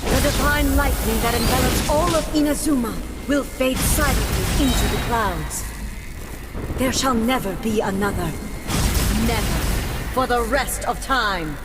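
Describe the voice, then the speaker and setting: A woman's voice: fairly high pitch, a little slow, loud music behind the voice, natural voice. A woman voice actor playing a cold, divine warrior ruler in a video game, formal, solemn and imperious in her delivery. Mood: ominous, solemn. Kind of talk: English video game voice acting.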